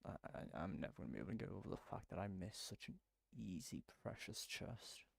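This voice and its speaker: A man's voice: deep voice, big pitch swings, a little fast, gravelly, breathy edge. A man giving casual gameplay commentary, muttering at himself in exasperation. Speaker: gameplay streamer.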